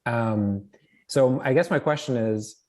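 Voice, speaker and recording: A man's voice: mid-range voice, measured pace, a little mellow, soft background music. A man hosting a conference panel, framing his questions hesitantly with many "uh"s and restarts. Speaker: host and moderator. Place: conference stage.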